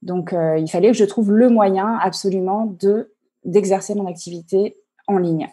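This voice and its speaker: A woman coach answering interview questions on a video call, halting and full of fillers and restarts. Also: mid-range voice, mellow, slightly rough. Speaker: online coach and entrepreneur.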